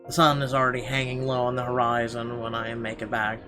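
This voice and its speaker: A man with a mid-pitched voice reads story narration aloud in an expressive, dramatic way. He stumbles now and then, and guitar music plays clearly behind him. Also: brisk. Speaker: gamer reading a visual novel aloud.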